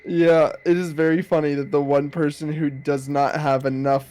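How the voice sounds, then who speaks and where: Casual tabletop player with a mid-pitched voice. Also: flat delivery, moderate pace, mellow. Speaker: player in an online tabletop RPG session. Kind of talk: casual game table chat.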